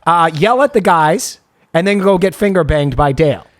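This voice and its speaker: A man's voice: on the high side, expressive, normal speed, no echo, studio quality. A man co-hosting a casual comedy recap podcast, sarcastic and crude, given to exasperated ranting. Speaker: podcast co-host.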